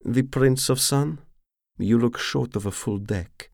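A man voicing a stern, weathered female crew leader in an audiobook, cool and unimpressed, with smooth menace. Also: mid-range voice, slow, a little mellow, no room sound, studio quality, voice pushed up.

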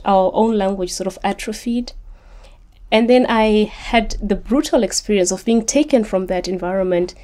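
Mellow-voiced woman in her late thirties. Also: mid-range voice. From Zimbabwe. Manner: articulate, earnest, often repeats words when restarting. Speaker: author guest. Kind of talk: reflective interview answers.

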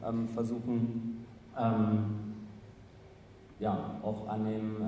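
A man from Saxony-Anhalt speaking as an activist on a panel, halting and full of fillers. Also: lowish voice, dark tone, a little hiss or hum. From Saxony-Anhalt, Germany. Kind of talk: panel discussion. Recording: echoey hall, distant mic.

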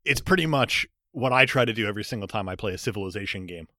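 A man co-hosting a casual movie podcast, teasing his partner with quick jokes and asides. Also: fairly low pitch, lively intonation, bright, forceful tone, no echo. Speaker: podcast co-host.

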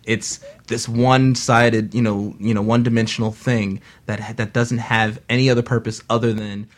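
A man guest on a talk radio show, animated and joking, leaning on "you know what I mean". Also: mid-range voice, moderate pace. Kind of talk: casual talk radio discussion.

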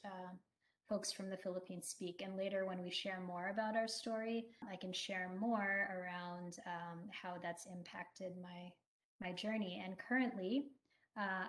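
Articulate woman guest. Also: fairly low pitch. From United States. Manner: friendly, articulate, some fillers. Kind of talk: conversational interview.

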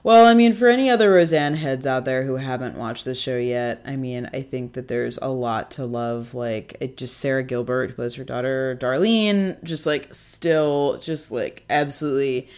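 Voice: medium pitch, normal speed, hardly any pauses, a little mellow, smooth. An American co-host chatting casually and unscripted about television, speaking in a hesitant, rambling way peppered with "like". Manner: hesitant, rambling, heavy use of "like". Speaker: co-host of a TV discussion show.

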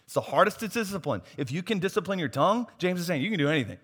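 Hoarse preacher with a bright voice in a reverberant hall. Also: male voice, high voice, big pitch swings, rapid-fire. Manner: swings from soft asides to loud emphatic preaching. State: losing his voice. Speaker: pastor. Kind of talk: sermon.

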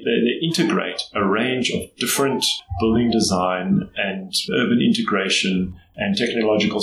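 A middle-aged man with a South African accent, answering interview questions in a thoughtful, measured way with pauses to choose his words. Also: fairly low pitch, a bit bright. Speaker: guest. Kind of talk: interview conversation. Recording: echoey room, faint background noise.

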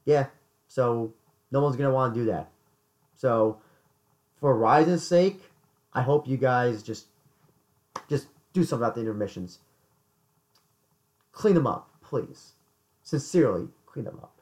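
A man co-hosting a casual podcast chat, hoarse, often stumbling and repeating words. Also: medium pitch, expressive, measured pace, long pauses, darkish tone. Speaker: podcast co-host.

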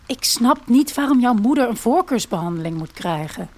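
Woman playing a smug, lecturing younger man, raised pitch. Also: animated, normal speed. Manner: lecturing, sarcastic. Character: smug, idealistic young man, sarcastic and unperturbed.

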